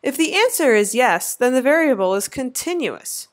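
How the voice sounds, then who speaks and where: A woman in her thirties narrating a slideshow lecture as an instructor, with clear, lively teacherly emphasis. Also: high-pitched, moderate pace. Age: 30s.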